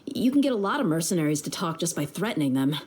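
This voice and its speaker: Low-voiced tough desert mercenary woman. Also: big pitch swings, fast, darkish tone, slight background noise. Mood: startled, concerned. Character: tough, confident female desert mercenary, young adult. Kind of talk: video game voice acting. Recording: music behind the voice. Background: game music.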